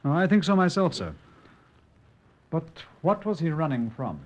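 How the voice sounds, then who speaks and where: An actor playing a shrewd, deferential British police sergeant in an old-time radio drama, talking matter-of-factly. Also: male voice, fairly high pitch, very animated, normal speed, a little mellow. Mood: confident, speculative. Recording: dull old radio recording, long reverberant hall.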